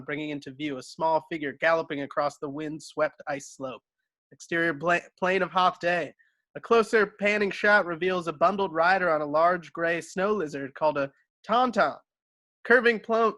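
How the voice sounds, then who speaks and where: Bright higher-voiced man narrating a script. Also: dry recording. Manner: stumbles over words and corrects himself. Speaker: narrator reading stage directions. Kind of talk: scripted narration at an amateur table read.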